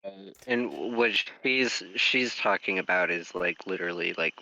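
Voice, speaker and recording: A man's voice: low-pitched, unhurried, vocal fry, sharp tone, no echo, highs cut off. A man on a casual podcast panel, talking in a laid-back way. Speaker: co-host.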